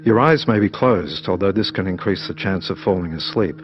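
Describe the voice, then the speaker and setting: A man narrating a scripted guided meditation in a measured, instructional manner. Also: sits low, expressive, dull audio.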